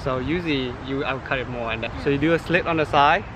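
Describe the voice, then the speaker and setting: A man's voice: mid-range voice, fast talker. Man explaining fruit growing outdoors. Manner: plain, step-by-step explaining with fillers. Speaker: guest pomegranate grower. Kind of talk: casual explaining. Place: residential yard outdoors. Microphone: camera held by another person a few feet away. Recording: outdoor recording with traffic noise.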